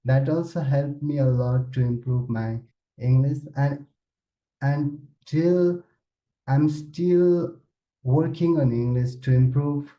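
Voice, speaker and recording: A man's voice: mid-range voice, steady tone, slow pace, reverberant, muffled recording. A man from Nepal with a Nepali accent giving plain, repetitive advice on learning English. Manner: plain, advisory, repetitive phrasing. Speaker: speaker sharing his English learning story.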